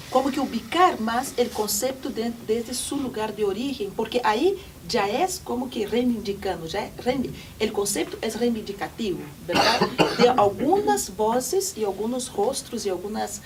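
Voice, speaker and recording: A woman whose first language is Portuguese speaks with a fairly high voice, reflecting thoughtfully and hunting for words. She is picked up by a mic set some way off, with faint room noise around her. Her tone is that of a panel commentator. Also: distant mic. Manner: thoughtful, searching for words, frequent tag questions. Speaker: panel commentator at a research report presentation.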